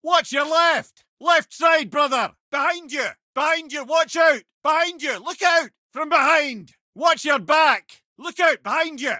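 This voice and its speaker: A man's voice: very high voice, unhurried, few pauses, pushed and bright, no echo, shouting, clean studio sound, exaggerated voice. An actor voicing a witty, worldly older male advisor in a video game, with a Scottish accent and a wry, conversational way with old-fashioned phrases. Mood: urgent warning. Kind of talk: video game character dialogue.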